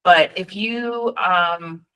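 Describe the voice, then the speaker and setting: Woman staff presenter on a video call, bright forceful tone, casual and chatty, lots of ums while walking through her screen. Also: fairly low pitch, very slow, smooth, poor audio. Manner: chatty and informal, frequent ums, friendly asides. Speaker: data team presenter at a state EMS registry meeting. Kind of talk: virtual meeting presentation with screen share.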